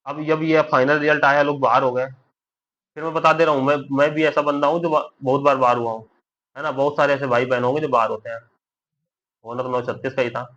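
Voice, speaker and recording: A man's voice: mid-range voice, steady tone, a little fast, a bit bright. A young man giving informal commentary straight to his audience, repeating points and often checking that listeners follow. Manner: repetitive, with frequent check-in tag questions. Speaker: exam-guidance video creator. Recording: dull lo-fi audio.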